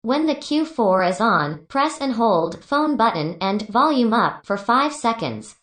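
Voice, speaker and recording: A woman's voice: on the high side, very animated, measured pace, fairly bright tone. Woman reading instructions, roomy echo. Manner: clipped step-by-step instructions. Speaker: product tutorial narrator. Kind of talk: scripted instructional narration.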